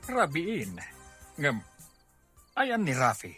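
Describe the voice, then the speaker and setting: A man playing an eager, opinionated middle-aged father in an audio drama, with a raspy voice. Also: a little high, huge pitch swings, slow pace, full character voice. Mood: eager, curious. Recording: faint music under the voice.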